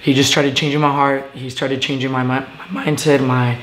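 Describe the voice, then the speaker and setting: A young man from Venezuela speaks earnestly, stopping often to gather his thoughts. His voice sits at an ordinary pitch and bounces around a bare living room. A faint hiss sits under the recording. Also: level tone, fairly bright tone, reverberant. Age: young adult. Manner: earnest, halting with many fillers. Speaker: guest. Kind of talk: casual testimony interview.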